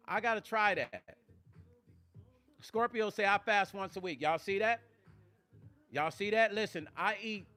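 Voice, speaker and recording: A man in his fifties hosting a casual livestream, reading viewer comments and talking straight to his audience in an animated, preachy way. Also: high-pitched. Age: 50s. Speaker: vlog host reading live chat.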